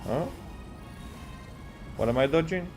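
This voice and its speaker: Animated man commenting on a game. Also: a little high, takes it slowly. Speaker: streamer playing a game. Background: game music.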